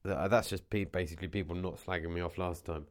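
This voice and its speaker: Male vlogger, low voice, dry close sound, slightly husky from a cold, rambling casual chat. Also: steady tone, fast talker, no echo. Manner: meandering, with many restarts and asides. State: has a cold, losing his voice.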